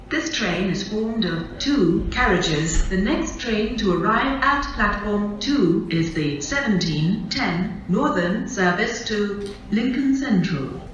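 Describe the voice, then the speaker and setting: An automated station announcer, a woman, reading railway announcements in measured, evenly stitched phrases. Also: mid-range voice, fairly bright tone, a little hiss or hum. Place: outdoor railway station platform. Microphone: public address speakers recorded from a distance. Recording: echoey muffled station tannoy from far off.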